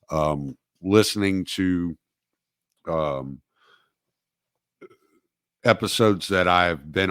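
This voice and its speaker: A man hosting his own podcast, talking alone on a livestream in a halting way with many fillers and restarts, recovering from dental work. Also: rumbling low, dry recording, studio quality. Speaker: podcast host. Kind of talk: solo livestream talk.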